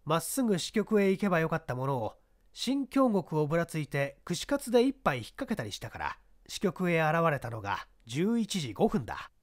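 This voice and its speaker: An audiobook narrator reading a scripted story in a theatrical, expressive storytelling manner. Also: mid-range voice, normal speed, no echo, clean studio sound.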